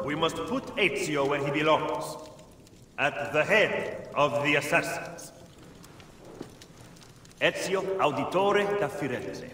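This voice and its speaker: A man's voice: a little high, bright, forceful tone, heavily acted. A man playing a grave, eloquent assassin statesman in a video game drama. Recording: very echoey hall, some noise.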